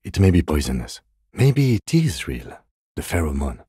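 A man playing a calm, composed middle-aged police detective in a video game, speaking in a measured, restrained and precise way. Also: a bass voice, husky, no room sound, clean studio sound, heavily acted. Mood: cautious, skeptical. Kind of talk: video game voice acting, understated dialogue.

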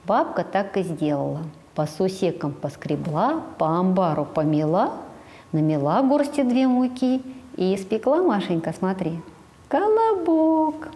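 Woman in her 40s, speech therapist, coaxing storytelling, mellow voice, long studio reverb, lapel mic. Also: mid-range voice, very animated. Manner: slow, sing-song, prompting the child to finish words. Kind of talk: telling a fairy tale to a small child.

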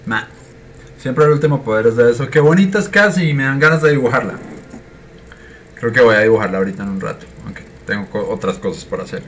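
A man's voice: medium pitch, fast, a little hiss or hum. A young Colombian man with a mixed Colombian and Argentine accent, chatting and grumbling while streaming a game from a small room at home on a cheap microphone. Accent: Colombian Spanish with Argentine mix. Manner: grumbling, chatty, reacting to play. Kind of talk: casual live-stream commentary. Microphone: cheap desk mic. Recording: game music under a cheap mic. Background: game music.

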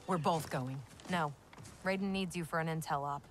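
A woman acting a brisk, commanding young military officer in a video game. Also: low voice, a little hiss or hum, natural voice. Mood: firm.

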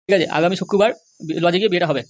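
A scheming middle-aged village politician, played by a man, blusters at extreme speed in a comic cartoon. He is touchy and defensive, and the voice is artificially pitched up. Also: very fast. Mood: touchy, firm.

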